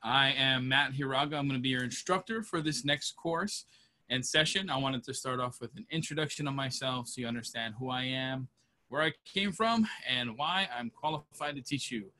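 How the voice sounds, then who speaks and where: A man in his thirties from Hawaii, giving a relaxed, plain-spoken safety lecture over a webcam from a small room. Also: medium pitch, moderate pace. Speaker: safety instructor. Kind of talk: online training lecture. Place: small room at home, indoors. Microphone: webcam on a video call.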